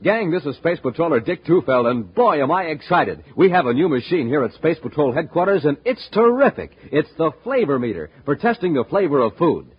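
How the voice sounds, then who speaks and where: An American man announcing in scripted 1950s radio style, with a showman's pitch aimed at young listeners. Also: very animated, fairly quick, few pauses, breathy edge. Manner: showman's hard-sell delivery aimed at kids. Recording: dull, noisy 1950s radio recording.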